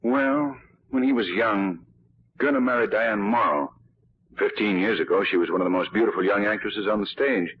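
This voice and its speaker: Low, husky gentleman sleuth. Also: normal speed. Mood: thoughtful, explanatory. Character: cultured wealthy young man, thoughtful amateur sleuth. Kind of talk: 1940s radio drama acting. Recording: muffled, phone-like 1940s radio recording.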